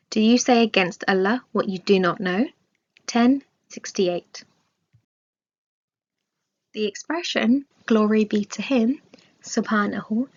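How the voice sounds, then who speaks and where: A woman reading a scripted religious study aloud in a measured, formal manner. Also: mid-range voice. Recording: dull, lo-fi recording.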